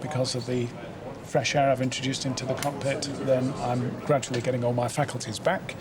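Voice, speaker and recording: A man, a former pilot, casually explaining training simulations with relaxed fillers. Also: mid-range voice, fairly quick, a loud crowd.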